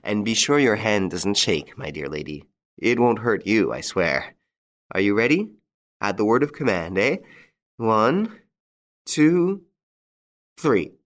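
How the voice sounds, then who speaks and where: Cool, wry rogue mocking his killers, read by a male narrator, low-ish voice with a lively lilt. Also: slow pace, pauses often. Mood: dry calm. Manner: calm, dry, mocking. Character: wry, unflappable gentleman thief, male adult.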